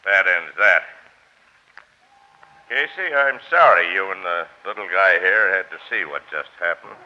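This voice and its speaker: A man's voice: low-pitched, lively intonation, measured pace, dull audio, background noise. A man playing a menacing, cool gang boss who talks in threatening slang in an old-time radio crime drama. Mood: cold, menacing. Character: menacing, cool, double-cross-hating male gang boss. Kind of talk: 1940s radio acting.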